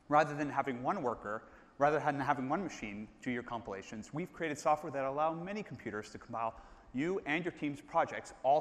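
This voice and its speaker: A man's voice: medium pitch, a bit bright. A man in his thirties presenting a live product demo on stage, explaining steadily and calling on colleagues by name. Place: large stage, hall. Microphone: lapel mic. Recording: reverberant hall.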